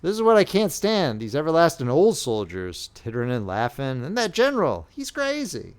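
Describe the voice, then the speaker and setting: Man reading as an upset young soldier, country talk, raised higher voice, storybook. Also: wildly swooping pitch, moderate pace. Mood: aggrieved. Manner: aggrieved, rustic drawl. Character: indignant young male Union soldier, the friend.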